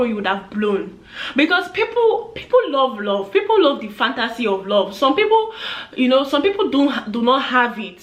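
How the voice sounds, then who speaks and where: A young woman in her twenties giving earnest, chatty advice to camera, acting out the voices she quotes. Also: high voice. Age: 20s. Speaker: vlogger. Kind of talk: casual advice talk to camera. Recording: echoey room.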